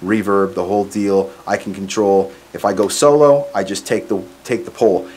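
Low-pitched man giving a casual gear demo. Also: fairly even intonation, moderate pace, darkish tone. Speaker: musician reviewing live sound gear. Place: small curtained home studio, indoors. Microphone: microphone on boom stand into PA, plus some room sound. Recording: clear background noise, some room sound.

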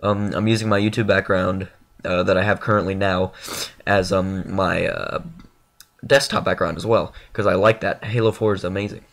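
A young man with a stuffy, congested nose talks through a casual channel update. His voice sits a little low, and he rambles, filling gaps with "um" and "uh" and poking fun at himself. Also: level tone, moderate pace, creaky, a bit bright. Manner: rambling, filler-heavy, self-deprecating. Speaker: gaming YouTuber.

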